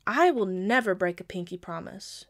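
A woman's voice: mid-range voice, lively intonation, lightly acted. A woman playing a quirky, sheepish young girlfriend who pleads and defends herself hesitantly in an intimate audio roleplay. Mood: pouty, earnest. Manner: hesitant, pleading, halting with pauses. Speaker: amateur audio roleplay voice actor.

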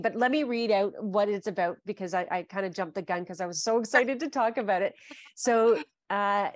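A woman's voice: medium pitch, dry recording. Chatty woman host on a dry video call. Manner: chatty and gushing, with frequent fillers. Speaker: podcast host. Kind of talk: casual video-call interview. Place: home living room.

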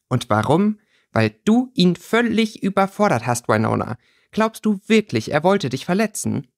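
A man's voice: on the high side, voice pushed up. A man voicing a blunt, angry schoolboy in a storybook reading, scolding emphatically.

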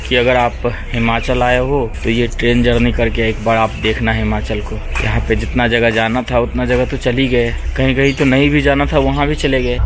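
A young man casually narrating a travel vlog, chatting as he films at a hill railway station and aboard a train. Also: medium pitch. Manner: chatty, conversational, thinking aloud while filming. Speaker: travel vlogger. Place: outdoors at a quiet hill railway station, then inside a train. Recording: noisy outdoor and train recording.

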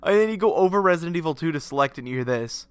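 A man's voice: on the high side, lively intonation, rapid-fire, clean tone. A young man streaming games from home, chatting casually with a stuffy, sinusy voice, rambling and swearing freely. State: congested, sinusy. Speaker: game streamer. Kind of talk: casual live-stream chat. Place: home garage setup.

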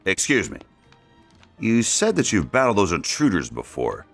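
An actor playing a wary, heroic space ranger action figure in a video game, formal and commanding. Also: male voice, low-pitched, huge pitch swings, moderate pace, creaky, soft background music, exaggerated voice. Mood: serious, questioning. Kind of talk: animated video game dialogue.

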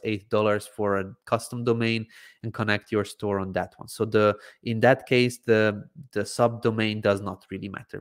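A man in his thirties from Croatia, native Hungarian, casually answering viewer questions on a livestream with lots of ums, speaking into a desk microphone. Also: on the low side. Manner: relaxed, hesitant with frequent ums and "I believe". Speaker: livestream Q&A host. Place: home studio, indoors, seated in gaming chair. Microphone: desk microphone in front of face.

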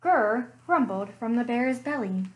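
A young woman reading a picture book aloud for children, slow and expressive with playful sound effects, recorded on a webcam in a small room at home. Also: a little high. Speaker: children's librarian. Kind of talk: storytime read-aloud.

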